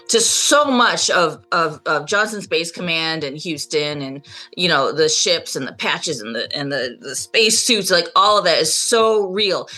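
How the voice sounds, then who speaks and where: A woman with a bright, forward voice talks as a panelist in a casual radio roundtable. She sounds like a keen fan, stressing words and restarting phrases, with faint music behind her. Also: lowish voice. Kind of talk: casual, enthusiastic fan discussion.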